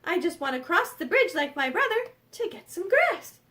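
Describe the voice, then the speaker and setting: A woman's voice: very high-pitched, very animated, normal speed, fairly bright tone, distant mic, heavily acted. A woman voicing a plucky, defiant middle billy goat in a storybook tale, speaking emphatically.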